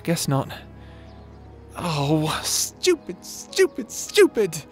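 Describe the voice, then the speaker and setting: A man's voice: on the high side, huge pitch swings, extremely slow, soft background music, exaggerated voice. A man playing a timid, lovesick young adventurer in an audio drama, stammering, hesitant and apologetic. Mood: self-reproaching. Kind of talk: audio roleplay drama acting.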